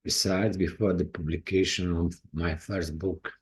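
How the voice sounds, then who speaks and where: Croatian guy talking in english, slow and halting, kinda husky. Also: low voice, little pitch movement. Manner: halting, non-native phrasing with restarts. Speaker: indie author. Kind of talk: personal talk to camera.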